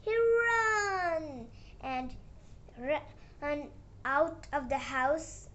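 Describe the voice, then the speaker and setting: A child narrating a moral fairy tale with halting, uneven phrasing and dramatic emphasis on the dialogue. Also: high-pitched, lively intonation, pushed and bright, a little hiss or hum. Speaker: storyteller.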